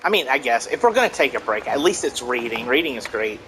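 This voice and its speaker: A man's voice: mid-range voice, very animated, quick pace, fairly bright tone, a little hiss or hum, rough recording. A man giving casual let's play commentary in a wry, mock-exasperated way, with game music behind. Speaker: gaming let's player.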